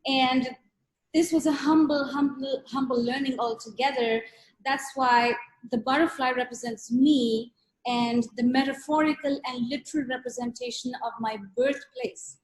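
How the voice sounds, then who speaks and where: A woman's voice: sits high, fairly even intonation, moderate pace, poor audio. A woman from Pakistan, an artist, speaking over a video call and explaining her work in an earnest way, often repeating words for emphasis. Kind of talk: reflective interview talk.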